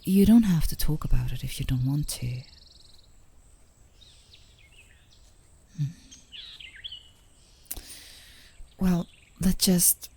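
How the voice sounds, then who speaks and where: Woman acting as affectionate girlfriend, soft breathy half-whisper, mellow low-leaning tone, playful coaxing, intimate ASMR roleplay. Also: full character voice. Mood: caring, gentle. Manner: soothing, playfully coaxing, with small chuckles. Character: gently coaxing, teasing, affectionate girlfriend on a park date.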